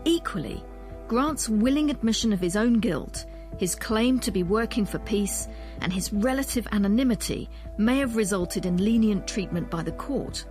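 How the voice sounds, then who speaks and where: A woman presents a documentary in a measured, questioning and explanatory way. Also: mid-range voice, expressive, a little mellow, faint music behind. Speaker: presenter, history professor. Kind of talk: documentary narration and interview.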